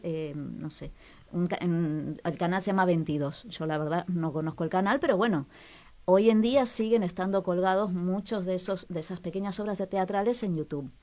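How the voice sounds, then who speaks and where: A woman's voice: on the low side, moderate pace, warm tone. Conversational woman presenting a cultural agenda. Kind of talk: casual radio chat with recommendations.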